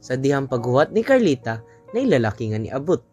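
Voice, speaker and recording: A man's voice: medium pitch, very animated, a little fast, a little mellow, faint music behind. A man with a Cebuano accent narrating a radio drama in a scripted storytelling manner.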